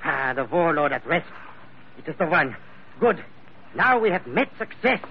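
An actor playing a curt, cold villain with a clipped, commanding manner in an old-time radio drama. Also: male voice, fairly high pitch, big pitch swings, normal speed, vocal fry. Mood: gloating. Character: curt, triumphant villain, cold gang henchman. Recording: muffled, noisy old radio recording.

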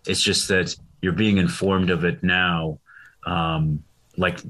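Man from Syria with a very low voice, slow, casual conversational delivery. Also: little pitch movement, a bit bright. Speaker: conversation participant. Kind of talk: casual chat.

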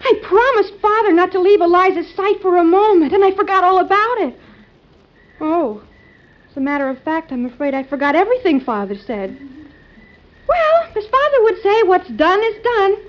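High-voiced, dreamy young radio-drama daughter. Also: female voice, very animated, normal speed. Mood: smitten. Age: young adult. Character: sweet, dreamy, playful young society daughter. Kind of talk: classic American radio drama acting. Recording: muffled old radio recording.

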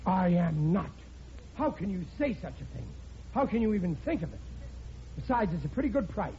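Bumbling, high-pitched working-class dad. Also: male voice, very animated, brisk, warm tone. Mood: exasperated. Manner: stammering, bumbling, folksy grammar. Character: blundering, good-hearted working-class middle-aged father. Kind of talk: 1940s radio comedy acting. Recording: muffled, noisy radio broadcast with studio audience.

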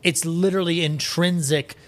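A man talking as a podcast guest in a casual, enthusiastic way, animated, rambling and opinionated. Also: fairly high pitch, normal speed. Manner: animated, rambling, opinionated, acts out imagined quotes.

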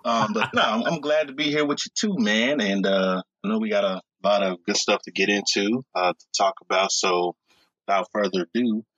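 A man co-hosting a casual sports podcast, joking around in a playful, quick-to-laugh way. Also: fairly low pitch, a bit bright, no room sound, lo-fi sound. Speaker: podcast co-host.

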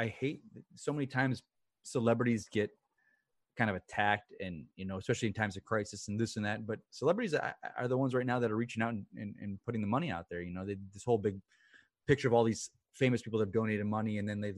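A man's voice: lowish voice, fast, no echo, studio quality. A man co-hosting a podcast, giving strong opinions in casual chat and repeating words as he gets going. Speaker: podcast co-host.

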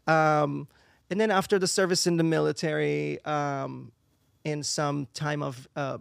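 A man's voice: a little high, fairly even intonation, measured pace, creaky, smooth, no echo, clean studio sound. A man from Israel, a native Hebrew speaker, chatting casually as an interview guest, rambling and searching for words. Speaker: interview guest, singer and performer. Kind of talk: casual chat.

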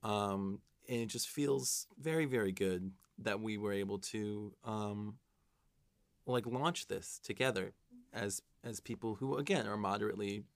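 Man, twenties, podcast co-host, slightly low voice, informal conversational delivery. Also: a little slow, gravelly, no room sound. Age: 20s. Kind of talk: casual conversational chat.

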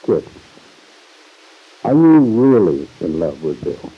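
An actor playing an earnest, middle-aged fatherly uncle in an old-time radio drama, gravely reproving in measured, formal phrases. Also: lowish voice, very animated, narrow, tinny audio, heavily acted. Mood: grave, concerned. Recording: vintage radio recording.

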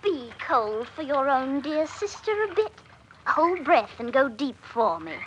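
An actress playing a coaxing, bossy young country sister in a classic radio drama, with theatrical rustic speech. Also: female voice, sits high, big pitch swings, measured pace. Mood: bossy, urging. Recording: noisy old radio recording.

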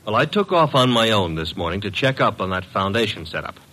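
An actor playing a brash, wisecracking detective's assistant in a classic radio drama, with slangy, punchy delivery. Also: male voice, on the low side, sing-song, brisk, bright, forceful tone, full character voice, poor audio. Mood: brisk, eager.

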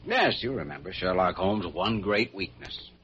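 An actor playing an earnest, decisive young hospital doctor in a 1940s radio drama, brisk and serious. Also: male voice, low voice, expressive, fairly bright tone. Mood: doubtful. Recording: muffled, noisy old radio recording.

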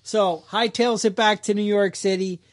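A man co-hosting a podcast, telling a story in casual chat with a wisecracking, lively manner and quick put-on voices. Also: high voice. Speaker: podcast co-host.

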